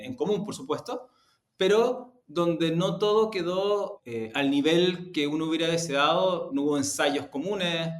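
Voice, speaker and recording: A man giving measured, reflective answers as an interviewed executive. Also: on the high side. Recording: roomy, echoing room.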